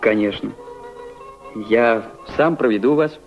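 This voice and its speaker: An actor playing a shy, warm-hearted Soviet delegate in a radio drama, with a halting, tender, coaxing way of talking. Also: male voice, fairly low pitch. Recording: dull lo-fi recording, music behind.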